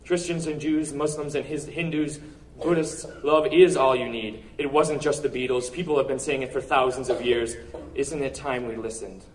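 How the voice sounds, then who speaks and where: Guy doing slam poetry at an open mic, earnest, dramatic pauses, mic kinda far away. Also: medium pitch, steady tone. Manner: earnest, rhetorical delivery with deliberate pauses. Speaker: spoken word poet. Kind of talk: poetry recital, slam-style spoken word. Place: live open-mic event. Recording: distant mic at live event.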